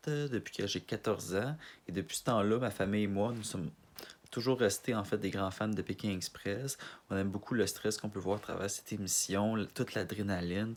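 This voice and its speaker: Casting note: young Montreal man, Québécois accent, slightly low voice, halting and unpolished. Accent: Québécois French. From Montreal, Canada. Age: 20s. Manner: hesitant, rambling, many fillers and restarts. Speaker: casting applicant. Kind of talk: self-introduction video.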